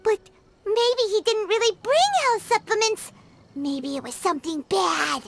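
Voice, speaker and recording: Tiny game fairy sidekick: extremely high childlike squeak, excitable and curious, slow swooping delivery over music. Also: female voice, gravelly, exaggerated voice. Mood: doubtful. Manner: exclamatory, wondering aloud. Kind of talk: video game voice acting.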